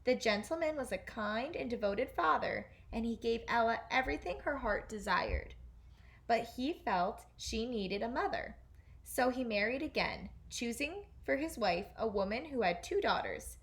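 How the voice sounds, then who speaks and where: A woman teacher reading a children's fairy tale aloud in an expressive storytelling style. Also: on the high side, moderate pace.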